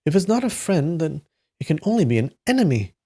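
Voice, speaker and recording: A man's voice: on the high side, sing-song, a little fast, dark tone, husky, voice pushed up, full character voice. A man voicing a questioning, wary female martial arts master in a storybook reading.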